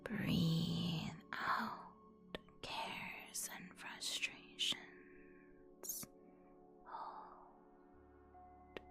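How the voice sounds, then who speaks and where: A woman leads a guided meditation in a hushed, breathy ASMR whisper. Her delivery is extremely slow and soothing, with long pauses between instructions. The voice sits fairly low, and faint music plays behind it. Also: little pitch movement.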